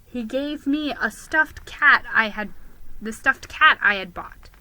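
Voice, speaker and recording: A woman giving a dramatic, expressive reading of a first-person children's story. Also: a little high, slow pace, poor audio. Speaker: storybook reader.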